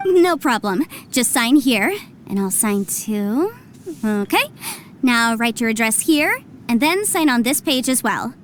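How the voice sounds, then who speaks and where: A woman's voice: a little high, animated, measured pace, a little hiss or hum. A woman playing a shrewd, confident young legal adviser in an anime-style video game, articulate, explanatory and businesslike. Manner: articulate, explanatory, self-assured. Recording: soft music behind the voice. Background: game music.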